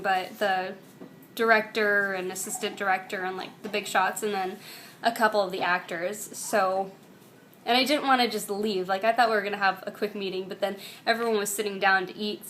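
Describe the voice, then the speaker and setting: A woman's voice: mid-range voice, fairly bright tone. Casual American woman vlogger with background noise. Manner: self-deprecating, informal. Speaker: actress. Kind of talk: casual diary-style chat. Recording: clear background noise, room ambience.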